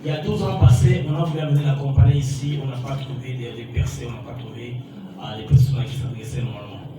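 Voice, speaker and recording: A man's voice: mid-range voice, fairly even intonation, quick pace. A man interpreting a speech into French, rephrasing each sentence with some hesitation. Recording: echoey hall, far from mic, music behind.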